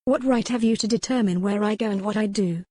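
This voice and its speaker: Woman doing a headstrong young lady aristocrat, formal and insistent, mid pitch, romance audiobook. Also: fairly quick, warm tone, no echo, natural voice. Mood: defiant, demanding. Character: proud, defiant young noblewoman held captive at sea. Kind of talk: dramatic audiobook character reading.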